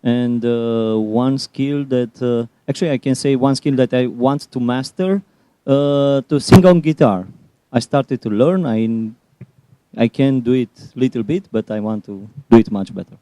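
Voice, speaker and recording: A man's voice: medium pitch, dark tone, no echo. A man from Romania, a workshop participant, introducing himself hesitantly. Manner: hesitant, slow.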